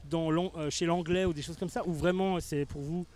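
A man's voice: on the high side, fairly even intonation, fairly quick, darkish tone. A man hosting a casual radio interview, chatty, laughing easily and tripping over his words. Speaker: radio host.

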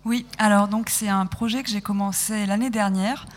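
Thoughtful halting woman radio guest. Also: mid-range voice, fairly even intonation, in a large hall. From France. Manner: thoughtful, halting, self-correcting. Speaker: guest, sound podcast maker. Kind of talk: radio interview conversation.

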